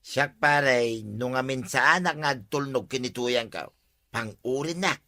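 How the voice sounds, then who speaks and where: A henpecked Ilocano village husband, played by a man, complains in a bright, medium-pitched voice. He is a chummy comedy drama buddy. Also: measured pace. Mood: complaining.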